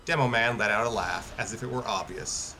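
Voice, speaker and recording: A man's voice: mid-range voice, fairly quick, sharp tone. A man narrating a scripted story as host of a live stream reading. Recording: music behind the voice.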